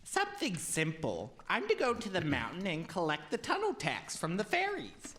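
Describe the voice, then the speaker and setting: A woman's voice: lowish voice, huge pitch swings, normal speed, a bit bright. A woman playing a plucky, slightly cocky young servant lad in a radio play, easygoing and innocent-sounding. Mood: breezy confidence.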